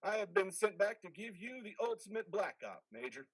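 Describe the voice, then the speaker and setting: A man's voice: sits high, sing-song, brisk, husky, dry recording, heavily acted. A man playing the stern ghost of a dead army officer in a dramatic play reading, domineering and manipulative. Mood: commanding.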